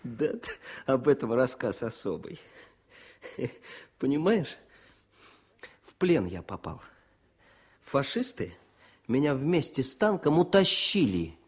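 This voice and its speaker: Husky, animated soldier telling his story. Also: medium pitch, exaggerated voice. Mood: animated recollection. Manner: halting, storytelling in short colloquial bursts. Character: wounded young Soviet war correspondent recounting his escape, modest, plucky. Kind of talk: 1970s Soviet radio play acting.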